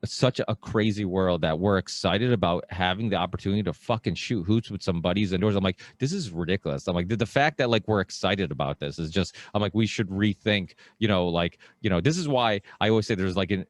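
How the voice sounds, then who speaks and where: A man from Portugal hosting a podcast, chatting casually with lots of restarts and animated asides. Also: deep voice, a little fast, few pauses. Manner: talkative, animated storytelling with frequent restarts. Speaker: podcast host. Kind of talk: casual conversation.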